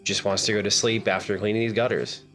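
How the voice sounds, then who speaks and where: A man playing a tabletop game, rambling quickly as he describes a scene, with plenty of fillers. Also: lowish voice, flat delivery, fairly bright tone, soft background music. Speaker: player.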